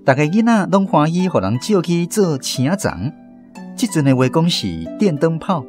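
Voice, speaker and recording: A man with a Taiwanese accent narrates a scripted story in a folksy way. His delivery is lively, with wide swings in pitch, over faint plucked-string music. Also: normal speed. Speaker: narrator of a radio drama.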